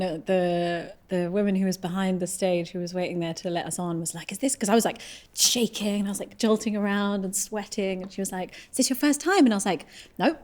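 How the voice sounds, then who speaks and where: A woman, a musician chatting candidly and casually in an interview, telling things in an animated way. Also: on the low side. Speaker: folk musician.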